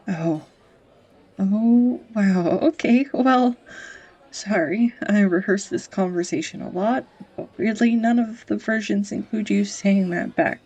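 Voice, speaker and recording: Woman acting as nervous young woman, audio roleplay, husky, creaky, halting confessional delivery, tender, close-miked. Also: medium pitch, darkish tone, exaggerated voice. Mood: shy, surprised. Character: anxious, rambling, tender young woman reuniting with old sweetheart. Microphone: close USB studio microphone.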